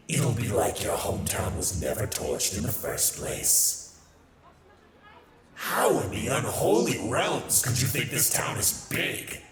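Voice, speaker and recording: A man playing a sly, hedonistic demon in an ASMR roleplay, theatrical and sardonic, mocking as he mentors. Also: on the low side. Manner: theatrical, sardonic, grandiose wording. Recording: echoey reverberant sound, loud background ambience.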